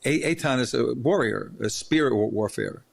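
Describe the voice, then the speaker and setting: A man's voice: medium pitch, normal speed, vocal fry. A man hosting an interview podcast, telling rambling stories in a chatty way with many restarts. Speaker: podcast host. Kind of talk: casual interview chat.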